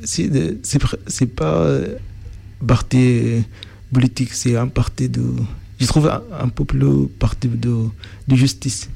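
A man's voice: mid-range voice, steady tone, unhurried, creaky, warm tone, breathy edge. A young man from Sudan, a native Arabic speaker, answering interview questions in French with frequent restarts as he searches for words.

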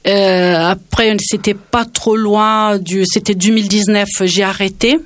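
A woman in her forties from Naples talks in an interview with a clear Italian accent. She hesitates often and fills the gaps with fillers as she searches for words. Her voice sits in a middle pitch and is recorded dry and close. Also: steady tone, a little slow, no echo. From Naples, Italy. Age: 40s. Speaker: cook, guest. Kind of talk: interview conversation.

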